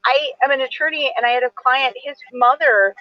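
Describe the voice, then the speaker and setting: A woman's voice: high-pitched, steady tone, fairly quick, no room sound, dull audio. A woman in her forties, an attorney, talking earnestly and a little rambling on a video call, with lots of fillers. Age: 40s. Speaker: attorney and event moderator. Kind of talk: virtual author event conversation.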